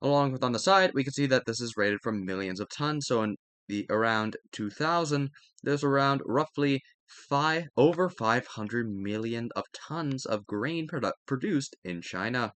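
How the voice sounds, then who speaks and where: A man's voice: medium pitch, lively intonation, moderate pace, a bit bright, no room sound. A man reading a textbook aloud as a lesson, now and then stumbling and repeating a phrase to correct himself. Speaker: reader narrating a history textbook aloud. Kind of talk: educational read-aloud with brief asides.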